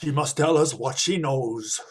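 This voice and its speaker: Man acting a slow-speaking, grave vampire hunter. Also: mid-range voice, breathy edge. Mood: grave, urgent. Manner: solemn, insistent, formal. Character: grave, commanding male vampire-hunting professor. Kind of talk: radio play acting.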